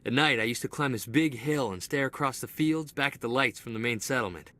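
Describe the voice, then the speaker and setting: An actor playing an eager, restless young male corporal in a video game cutscene, talking in an excitable, boyish way. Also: medium pitch, a little fast, close to an everyday voice. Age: young adult.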